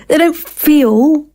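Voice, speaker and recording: Callous, mellow-voiced female scientist. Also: high voice. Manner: halting, mocking asides with tag questions. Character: callous, driven female scientist, sardonic and ruthless. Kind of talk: audio drama acting.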